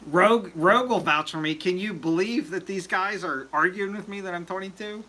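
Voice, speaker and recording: A man's voice: high voice, normal speed, bright, forceful tone, slight background noise. A man hosting a casual livestream chat, rambling with lots of fillers and joking with viewers. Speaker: livestream host.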